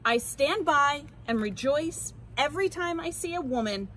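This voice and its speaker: A woman's voice: high-pitched, lively intonation, normal speed, a bit bright, a little hiss or hum, voice pushed up. A woman voicing a resolute women's rights campaigner from a children's storybook, declaiming emphatically. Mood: proud, resolute. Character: rousing, resolute women's rights campaigner proclaiming her views.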